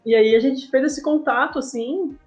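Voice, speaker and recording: A woman with a Brazilian Portuguese accent and a fairly high voice speaks as a guest on a podcast. She tells stories in an informal, slangy, conversational way, with music playing behind her. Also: normal speed, muffled recording. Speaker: guest, comic artist. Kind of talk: casual podcast interview.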